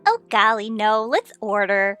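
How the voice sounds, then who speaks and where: A woman's voice: mid-range voice, big pitch swings, measured pace, fairly bright tone, faint music behind. An American actress playing an impulsive, stubborn married woman sleuth in an old radio drama, exclaiming and stressing her words. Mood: bright, casual. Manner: exclamatory, emphatic. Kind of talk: mid-century radio drama acting.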